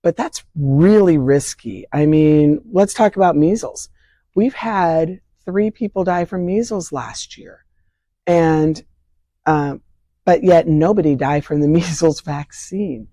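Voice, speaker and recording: An older hoarse woman, leader of a vaccine advocacy group, earnestly explaining health topics in an interview from home with simple analogies. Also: low-pitched, slow pace, warm tone, dry recording, studio quality. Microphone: remote interview from home.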